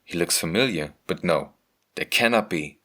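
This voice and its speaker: Man, low pitch, scripted first-person narration, measured, earnest, dramatic storytelling. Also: animated, a bit bright. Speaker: narrator of a motion comic.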